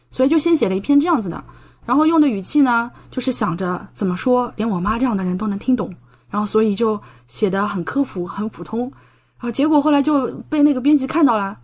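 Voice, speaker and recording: A woman guest on a podcast, talking casually and explaining things in a talkative way with rhetorical questions and quoted exchanges. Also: mid-range voice. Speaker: podcast guest, medical doctoral student and science writer.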